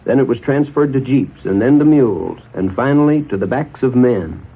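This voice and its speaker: On a narrow, tinny vintage radio recording, an American man speaks in a husky, medium-pitched voice. He is an army officer giving a plain, orderly interview account in 1940s broadcast style. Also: animated, moderate pace.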